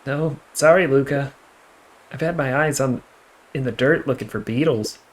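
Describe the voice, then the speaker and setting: A man's voice: medium pitch, moderate pace, lightly acted. A man voicing an earnest, distracted young boy in a video game, with a questioning manner. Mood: earnest, puzzled. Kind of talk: video game character read-aloud. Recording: music playing behind the voice.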